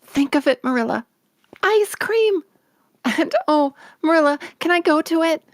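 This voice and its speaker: A woman playing an excitable, dreamy orphan girl in a storybook read-along, breathless and gushing. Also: high-pitched, unhurried, no room sound. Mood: thrilled. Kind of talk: acted audiobook read-along.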